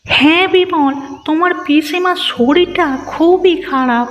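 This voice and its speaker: A woman's voice: very high-pitched, a little slow. A woman playing a caring, hospitable village sister-in-law in a cartoon, polite and homely. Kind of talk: cartoon moral-story dialogue. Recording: dull reverberant track, music behind.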